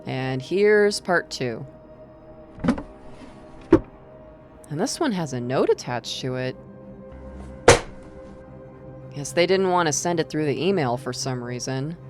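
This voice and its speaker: A woman's voice: deep voice, expressive, normal speed, over loud music. A woman playing a wry female archivist of eerie secret files in a scripted audio drama.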